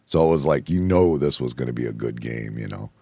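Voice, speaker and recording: Gravelly, hoarse low-voiced man rambling. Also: a little fast, no echo. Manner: rambling, excitable, repeats himself. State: hoarse from yelling. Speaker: podcast host. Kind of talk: casual bar chat. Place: indoor room, bar setting.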